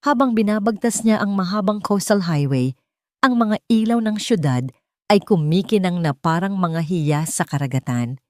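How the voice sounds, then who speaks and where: A woman with a Filipino accent reading a dramatic story as a narrator, in measured, deliberate phrases. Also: mid-range voice, lively intonation, no room sound, studio quality. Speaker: audiobook narrator.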